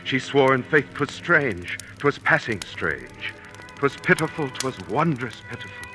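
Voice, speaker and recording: An actor playing a proud, noble Moorish general and devoted husband, declaiming in measured old radio Shakespeare style. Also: male voice, medium pitch, pushed and bright, low-quality recording. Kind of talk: 1940s radio Shakespeare acting. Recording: old radio broadcast with music.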